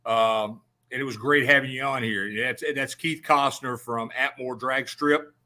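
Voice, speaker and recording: A man hosting a radio show, talking in a folksy, joking way with halting restarts. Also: mid-range voice, steady tone, sharp tone. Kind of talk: casual on-air interview.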